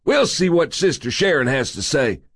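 A man's voice: medium pitch, expressive, moderate pace, a bit bright, no echo, clean studio sound, pitched above the performer's own voice, lightly acted. A man voicing a short, fat, self-important male busybody who talks in a pompous, huffy way. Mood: indignant, accusing.

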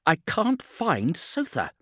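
A man's voice: lowish voice, sing-song, moderate pace, no echo, clean studio sound. A man voicing an anxious, refined noblewoman who navigates starships, with an upper-class accent, in a sci-fi audiobook. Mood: distraught.

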